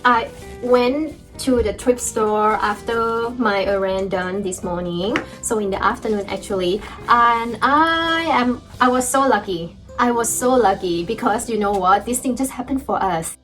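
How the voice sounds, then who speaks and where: Young woman, Thai accent, chatty and upbeat vlog teacher, soft music underneath. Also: fairly high pitch, unhurried. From Thailand. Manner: cheerful, chatty, laughs often. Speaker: language teacher vlogger. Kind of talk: casual video lesson.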